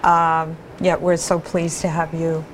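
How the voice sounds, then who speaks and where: A woman who runs a gallery, speaking warmly with stumbling starts. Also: deep voice, little pitch movement, measured pace, rough recording. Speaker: gallery owner. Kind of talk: casual remarks. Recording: crowd noise behind.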